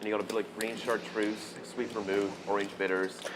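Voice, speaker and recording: A man with a Canadian accent chatting casually at a poker table, relaxed and full of fillers. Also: fairly low pitch, a little fast, crowd noise, poor audio. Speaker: poker player.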